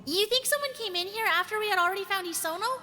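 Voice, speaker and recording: A woman voicing a skeptical, squeaky young girl in an animated murder mystery game. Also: fast talker, in a large hall, slight background noise.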